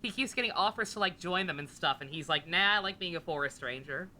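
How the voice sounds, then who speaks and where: A woman's voice: fairly low pitch, lively intonation, fast, bright, forceful tone, a little hiss or hum. A woman game streamer giving casual, irreverent live commentary, rambling and swearing freely.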